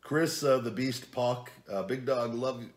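A man in his forties chatting casually at home as a vlog host, reading viewer comments into a desk camera. He is blunt and profane, jumping from low mutters to loud outbursts. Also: unhurried. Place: home living room at night, seated at a table.

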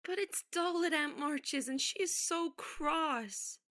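A woman's voice: high-pitched, takes it slowly, a bit bright. An actress playing a sulky, spoiled young girl in an audio drama, whining her complaints. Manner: sulky, whiny. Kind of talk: amateur audio drama acting.

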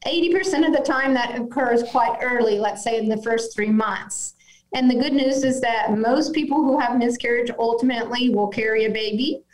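Gentle woman on an echoey video call. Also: on the high side. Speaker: physician and missionary guest. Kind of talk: remote podcast interview. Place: office at home, indoors. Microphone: video call webcam.

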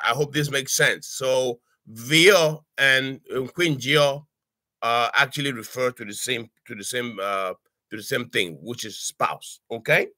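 An older man from Cameroon giving an informal online language lesson from his home study, speaking haltingly with many fillers and restarts and checking in with "okay?". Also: medium pitch, sharp tone, no room sound. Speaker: language tutor. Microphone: webcam on a video call.

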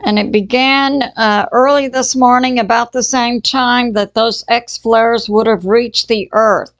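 A woman hosts her own commentary channel, her voice sitting a little high. She talks very slowly and haltingly, stopping often for fillers and starting phrases over. The sound is dry and close, with no room echo. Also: steady tone, highs cut off. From Texas. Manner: slow, halting, with many fillers and restarts. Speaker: channel host. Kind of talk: casual news commentary.

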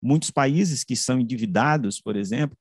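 A man with a Brazilian accent giving an explanatory lecture over a video call, haltingly rephrasing as he goes. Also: medium pitch, slightly rough, dry recording, studio quality. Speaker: academic presenter. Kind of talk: explanatory online lecture presentation with slides. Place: indoor room, online video call. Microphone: video call microphone.

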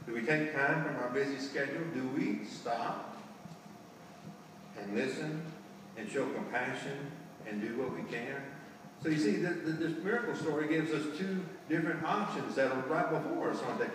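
Older lay preacher, folksy and conversational, heard from far back in a reverberant church. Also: male voice, a little high, moderate pace, noisy background. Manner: folksy, asks rhetorical tag questions. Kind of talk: sermon. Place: large church sanctuary, indoors. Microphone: far camera at the back of the sanctuary. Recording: echoey church hall, distant camera.

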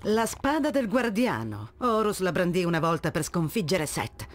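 A woman's voice: on the low side, sing-song, moderate pace. Game role: proud female desert warrior leader, solemn, resolute, giving orders. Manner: commanding, solemn.

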